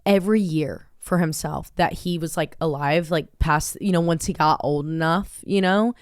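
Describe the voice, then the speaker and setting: A woman's voice: sits low, moderate pace, clean tone, no echo, studio quality. A woman in her twenties co-hosting a podcast, telling stories in a rambling, casual way and swearing freely. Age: 20s. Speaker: podcast co-host. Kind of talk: casual chat.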